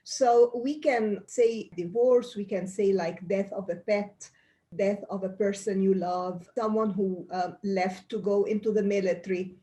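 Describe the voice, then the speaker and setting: A woman's voice: medium pitch, fairly even intonation, measured pace, warm tone. A middle-aged woman from Egypt, a pediatrician being interviewed, explaining things earnestly with frequent restarts. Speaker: pediatrician guest. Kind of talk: interview answers.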